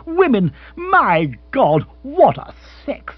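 A man's voice: high voice, very animated, moderate pace, breathy edge, pitched above the performer's own voice, heavily acted. A man voicing an exasperated young lord in a comic audiobook, full of blustering, exclamatory upper-class slang. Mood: bitter. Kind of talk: comic audiobook character acting. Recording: muffled, echoey recording with hum.

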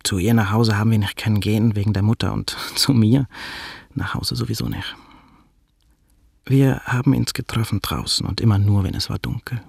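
An actor playing a subdued, hesitant young man with a Yiddish accent in a radio drama, with a gravelly, husky voice. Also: fairly low pitch, steady tone, moderate pace, darkish tone, dry recording, clean studio sound. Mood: wistful, hushed. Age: young adult. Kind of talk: radio drama acting, dialogue and first-person narration.

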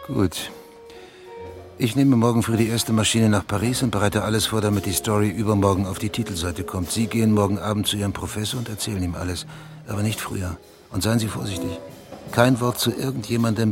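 Man acting investigative journalist, low-pitched, creaky grain, urgent, insistent, conspiracy-driven, radio drama, music behind. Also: steady tone, fairly quick, natural voice. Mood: conspiratorial instructing. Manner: urgent, insistent, emphatic explaining. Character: intense, conspiracy-driven male investigative journalist, hungry for exclusives. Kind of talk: German radio drama dialogue.

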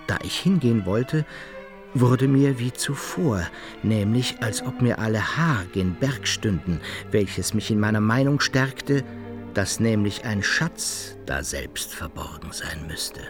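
A man narrating an old adventure tale in a radio play, with expressive, dramatic storytelling. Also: on the low side, slow, music in the background. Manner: expressive storytelling, archaic wording.

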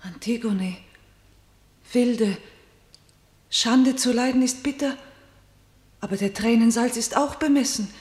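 A woman playing a fearful, submissive and tender sister in a classical tragedy radio play, speaking measured, solemn verse. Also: a little high, darkish tone. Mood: sorrowful. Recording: echoey room sound.

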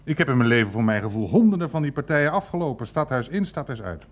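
A man's voice: medium pitch, big pitch swings, fast. A man playing a blunt, irreverent older uncle in a naturalistic radio play, grumbling and swearing as he rambles. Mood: wry, chatty.

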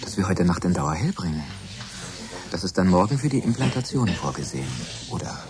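A very deep-voiced, deferential scientist. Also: male voice, very animated, brisk, a crowd in the background. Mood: tentative. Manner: hesitant, overly polite. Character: deferential, hesitant male scientist, awkwardly polite junior colleague. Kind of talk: German radio drama acting.

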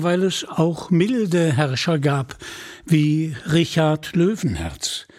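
A man narrating a scripted radio play in a measured, deliberate storytelling manner, with a husky voice. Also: fairly high pitch, darkish tone.

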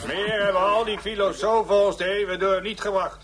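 High-voiced cantankerous philosopher. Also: male voice, fairly even intonation, fairly quick, a bit bright. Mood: contemptuous. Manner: scornful, pompous. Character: cantankerous, contemptuous male philosopher ranting against philosophers. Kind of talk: radio drama acting as a lecturing thinker.

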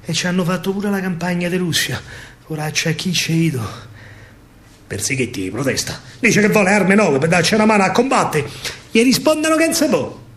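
A man with a Roman accent telling a story in verse as a solo stage actor, theatrical and emphatic, swinging from hushed to loud. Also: high-pitched, big pitch swings, a little fast.

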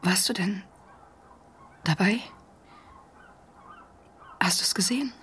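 An actress playing a soldier's young, forthright lover in an intimate radio drama, with a breathy, husky voice. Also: female voice, medium pitch, slow pace, fairly bright tone, exaggerated voice. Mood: troubled.

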